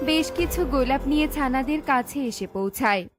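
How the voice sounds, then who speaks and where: A woman's voice: sits high, lively intonation, brisk, music in the background. A woman reading scripted narration for a children's cartoon story.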